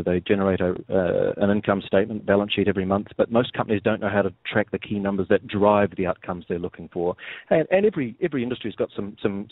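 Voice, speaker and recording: A man with a New Zealand accent, a guest on a radio interview, explaining things in a measured way and often repeating words as he starts a sentence. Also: lowish voice, breathy edge, no room sound. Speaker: guest, software firm executive. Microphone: phone. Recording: tinny phone line.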